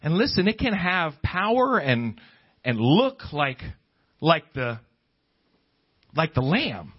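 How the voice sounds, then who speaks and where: Male preacher, notably high-pitched, emphatic Pentecostal sermon delivery, repetitive, calls for agreement, dull church recording. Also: sing-song, slow, breathy edge. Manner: emphatic, repeats phrases, prompts listeners for agreement. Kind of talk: Pentecostal-style sermon to a congregation.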